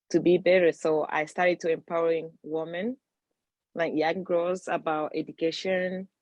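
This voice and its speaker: A woman from Tanzania, founder of a women's organization, talking on a video call in a halting, unhurried way, searching for words. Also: sits low, a little mellow, no room sound. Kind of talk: casual talk on a video call. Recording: dull, dry video call audio.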